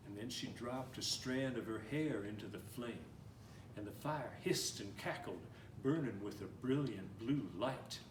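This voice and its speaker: A man reading a picture book aloud in a gentle, animated storytelling manner, slowing down and speeding up to shape the tale. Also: medium pitch. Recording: roomy, somewhat distant mic with faint noise.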